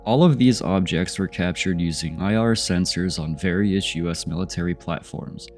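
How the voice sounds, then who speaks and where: Deep-voiced congested man narrating. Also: normal speed, darkish tone, faint music behind. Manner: skeptical, poses rhetorical questions. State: congested, nasal from a cold. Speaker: channel host narrating UFO footage. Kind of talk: voice-over commentary on video clips.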